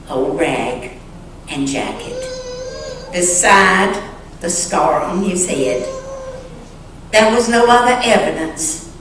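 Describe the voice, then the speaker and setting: A woman reads a story to a church in a solemn, dramatic style. She takes it very slowly, with deliberate pauses between phrases. The sound is heavily echoing, as in a big sanctuary, with a faint layer of noise. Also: low voice. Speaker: church member reading a short story aloud. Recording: echoey church hall, distant mic, faint noise.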